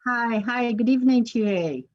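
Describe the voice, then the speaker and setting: Earnest woman poet, halting speech. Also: on the high side, dull audio. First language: Urdu. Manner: halting, with many restarts, earnest. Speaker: poet and teacher. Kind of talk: poetry reading and stage interview.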